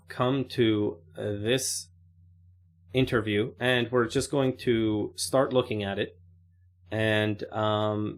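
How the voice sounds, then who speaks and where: A man hosting his channel, talking through his views on an interview in a loose, conversational way, with many fillers and a habit of saying okay. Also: on the low side. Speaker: channel host reviewing an interview. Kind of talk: casual spoken commentary.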